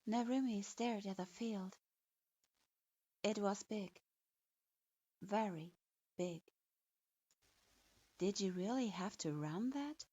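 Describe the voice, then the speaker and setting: A woman reads a story aloud at an unhurried, deliberate pace. Her voice sits at a middle pitch, and the recording sounds a little dull and muffled. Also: dark tone, no echo. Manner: slow, measured reading. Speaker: fanfiction reader. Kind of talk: storybook narration.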